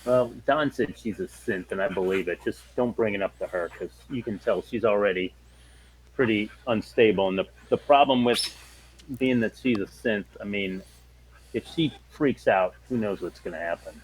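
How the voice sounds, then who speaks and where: A man's voice: on the low side, normal speed, slight background noise, low-quality recording. A man talking casually in character as a player in a tabletop role-playing game.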